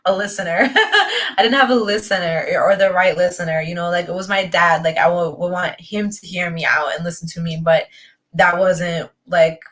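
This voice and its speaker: A woman's voice: fairly low pitch, moderate pace, a bit bright. A young woman talking about her own life in a thoughtful, rambling way, full of fillers and restarts. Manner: thoughtful, rambling, heavy on fillers like "like" and "you know". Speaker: spiritual coaching guest or host. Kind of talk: reflective personal talk.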